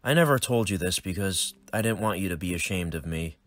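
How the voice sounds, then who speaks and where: A man playing a weary, protective middle-aged hitman father, speaking in a steady, earnest voice. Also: sits low, a little fast, no room sound. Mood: somber.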